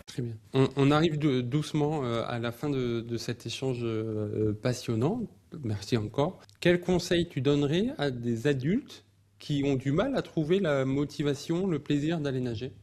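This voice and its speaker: Male podcast host with a mellow voice, encouraging, sums up and restates his guest's points. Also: medium pitch, moderate pace. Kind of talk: casual interview talk.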